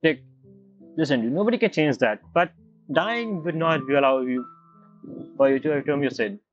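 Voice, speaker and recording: Male gamer, casual halting reactions, very expressive pitch, dull muffled recording. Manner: halting, informal, reacts aloud in fragments. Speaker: game streamer. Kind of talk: casual reaction commentary while gaming. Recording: muffled mic under loud game music. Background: loud game music.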